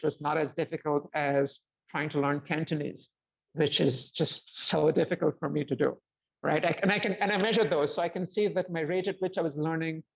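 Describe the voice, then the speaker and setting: Man in his 50s chatting on a video call from home, thoughtful, bit dull lo-fi sound. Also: a little high. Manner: reflective, gestures a lot while talking. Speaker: guest. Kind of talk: casual video-call conversation. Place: home room with bookshelves. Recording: slightly dull lo-fi call audio.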